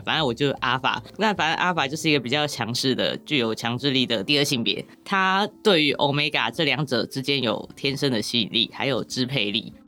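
A woman's voice: a bass voice, a bit bright. A woman with a Taiwanese Mandarin accent explaining things casually as a podcast guest, with wry, rambling humour.

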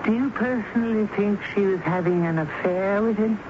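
An actor playing a welcoming, nosy coffee house owner in a radio mystery drama. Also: male voice, high voice, moderate pace, close to an everyday voice. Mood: cheerful, prying. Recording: muffled noisy old radio broadcast.

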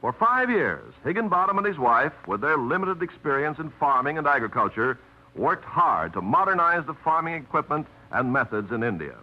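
A man's voice: fairly high pitch, sing-song, moderate pace. A man announcing a 1950s radio drama in an earnest, declamatory style, on a dull old radio recording.

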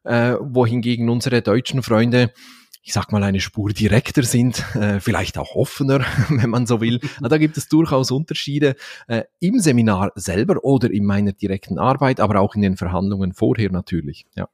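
A man's voice: mid-range voice, normal speed, darkish tone, husky, dry recording, studio quality. A man from Valais in Switzerland with a Swiss Standard German accent, a trainer answering interview questions thoughtfully, with frequent fillers. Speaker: seminar trainer and speaker. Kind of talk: podcast interview.

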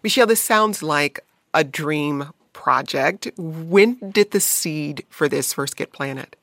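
A woman's voice: low-pitched, lively intonation, slow, gravelly, a bit bright, no room sound. A woman radio host interviewing a guest in a friendly, engaged way.

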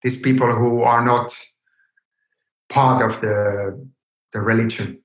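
Man, fifties, Finnish accent, very slow delivery, hesitant, conversational interview guest, echoey room. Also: mid-range voice, fairly even intonation. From Finland. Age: 50s. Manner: hesitant, searching for words. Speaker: podcast guest, yoga teacher. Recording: roomy echo on the voice.

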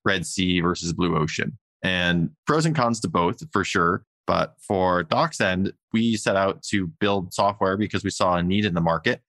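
A man's voice: sits low, normal speed, few pauses, no echo. A man answering as a guest in a podcast interview, telling stories in an easygoing way and acting out what people said. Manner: relaxed storytelling with acted-out quoted asides. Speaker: guest, company co-founder and CEO.

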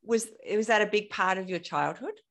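A woman hosting a running podcast, chatting in a bubbly, animated way and laughing often. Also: medium pitch, normal speed. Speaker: podcast host. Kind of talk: casual interview chat.

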